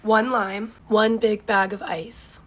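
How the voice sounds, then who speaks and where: A hoarse woman who has lost her voice, chatting incredulously through a grocery haul. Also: medium pitch, little pitch movement, slow.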